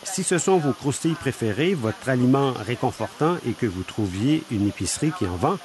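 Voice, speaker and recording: Man, voice-over translation, lively pitch, explanatory, crowd behind. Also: normal speed. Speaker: interpreter voicing a shop owner.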